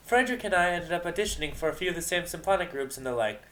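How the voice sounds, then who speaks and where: A man voicing a loud, excitable young pony mare who slips into sheepishness, in an amateur story reading. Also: on the high side, very fast, voice pushed up. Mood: friendly. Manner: bursts out loudly, then sheepish. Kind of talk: amateur dramatic fan fiction reading.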